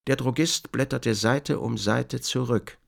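A man's voice: medium pitch, measured pace, gravelly. A man reading scripted literary narration as the narrator of a radio drama.

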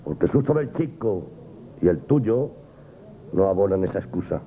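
An actor playing a stern, proud shopkeeper, blunt and scolding, in a Spanish radio play. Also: male voice, sits low, big pitch swings, moderate pace, soft background music. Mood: cold, reproachful. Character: stern, wounded, proud shopkeeper, father of a daughter. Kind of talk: Spanish radio theatre acting, 1970s. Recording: muffled, noisy old radio broadcast.

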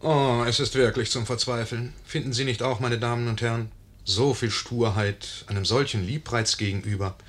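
An actor playing a wry, meddling narrator in a 1950s German radio play, commenting with theatrical irony. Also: male voice, mid-range voice, fairly even intonation, normal speed, fairly bright tone, natural voice. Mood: ironic, exasperated. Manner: theatrical, ironic, conspiratorial commentary.

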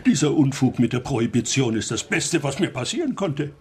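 Ein Schauspieler spielt in einem deutschen Hörspiel einen jovialen, verschmitzten Barbesitzer mittleren Alters, der umgangssprachlich poltert. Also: male voice, medium pitch, very animated, brisk, a little mellow, breathy edge. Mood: jovial, sly. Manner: chuckling, colloquial, blustery. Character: jovial, cagey bar owner with contempt for swindlers. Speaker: restaurant owner in a radio drama. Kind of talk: German radio play acting.

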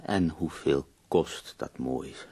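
An actor playing an ailing, bedridden poet in a radio drama, wry and death-weary, speaking theatrically with dramatic pauses. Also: male voice, very deep voice, dark tone, full character voice. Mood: indulgent. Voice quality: husky, gravelly.

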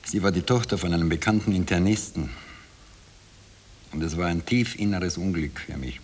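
An actor in a 1960 radio drama playing a bitter Viennese waiter who talks in a colloquial, confiding way. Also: male voice, sits low, moderate pace. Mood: weary, confiding. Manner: colloquial confiding narration, obliging when serving. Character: bitter Viennese waiter recalling his life to a woman.

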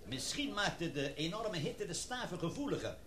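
A man's voice: sits high, sing-song, normal speed, fairly bright tone. Een man die in een hoorspel een nieuwsgierig, beleefd expeditielid speelt, vragend van toon. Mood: inquiring. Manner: polite, inquiring. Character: inquisitive, polite man in an expedition meeting. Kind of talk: radio drama acting. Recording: faint background noise.

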